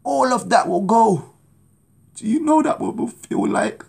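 A man's voice: very high-pitched, animated, a little slow, creaky, darkish tone, breathy edge. A man in his thirties from Jamaica, preaching his testimony to a phone camera in a small room at home, urgent and pleading. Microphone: phone camera at arm's length.

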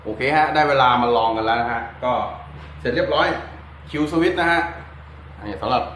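A man's voice: medium pitch, moderate pace. Man casually explaining, noisy recording. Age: adult with a child. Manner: informal, easygoing, chatty. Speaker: DIY guitar electronics tutorial host. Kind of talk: casual hands-on demonstration talk.

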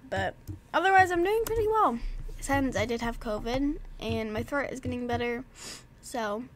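A woman's voice: a little high, animated, normal speed, a little hiss or hum. A woman with a stuffed-up nose casually commenting while she plays a game, chatting to herself and her horse. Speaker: gaming vlogger.

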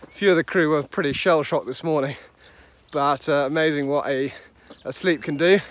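A man's voice: on the high side, moderate pace. A man in his thirties vlogging about sailing, talking casually into a phone held at arm's length. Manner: chatty, breathless on deck. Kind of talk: casual vlog narration. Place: lying in a boat cabin. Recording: loud music under voice.

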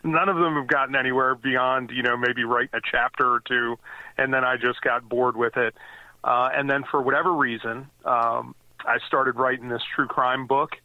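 A man's voice: medium pitch, fairly even intonation, moderate pace, no echo. Man in his forties on a tinny line. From US East Coast. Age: 40s. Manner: rambling, many fillers and restarts. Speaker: guest author. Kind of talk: casual interview conversation. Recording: dry tinny phone-like line.

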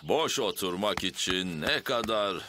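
A man voicing a jolly, easygoing father pig in a children's cartoon, with an expressive delivery. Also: fairly low pitch, a little slow, heavy background noise. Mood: relaxed.

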